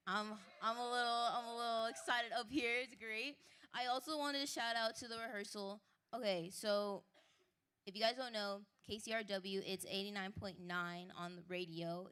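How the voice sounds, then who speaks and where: A woman hosting a live concert stage, interviewing a guest and giving shout-outs in a chatty, upbeat way full of fillers and apologies. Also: fairly high pitch, clean tone. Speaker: host. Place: live stage at an indoor market venue. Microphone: handheld stage mic.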